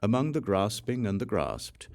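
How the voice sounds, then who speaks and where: Deep, creaky-voiced male audiobook narrator. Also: big pitch swings, normal speed, a little mellow. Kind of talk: scripted reading of a Buddhist sutra.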